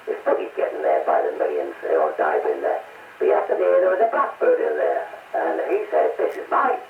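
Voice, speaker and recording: An older man with a North East English accent chatting in a halting, chuckling way over CB radio. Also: extremely low pitch, wildly swooping pitch, echoey room. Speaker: amateur CB radio operator. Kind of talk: casual radio chat. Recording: noisy, narrow-band, distant CB radio transmission.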